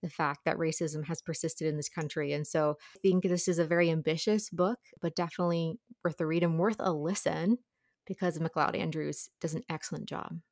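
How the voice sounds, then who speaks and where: A woman's voice: low voice, fairly even intonation, a little fast, darkish tone, no echo, studio quality. A woman talking through a book conversationally as a guest reviewer on a podcast.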